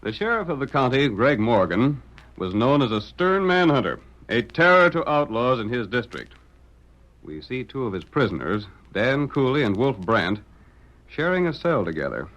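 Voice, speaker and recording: A man's voice: medium pitch, sing-song, moderate pace, fairly bright tone, lo-fi sound, faint music behind. A man narrating a 1930s radio drama as its announcer, in a sweeping, theatrical style.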